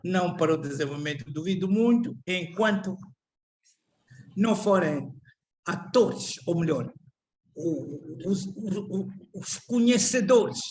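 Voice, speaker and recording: An older Angolan man speaking Portuguese with an Angolan accent, giving a reflective, halting lecture full of restarts and repeated words. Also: high-pitched, animated, darkish tone.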